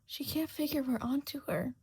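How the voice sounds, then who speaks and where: A woman's voice: high-pitched, moderate pace, voice pushed up. A woman voicing an anxious young storybook Duchess, by turns prim and urgent. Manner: clingy, switches between prim loud and urgent hushed.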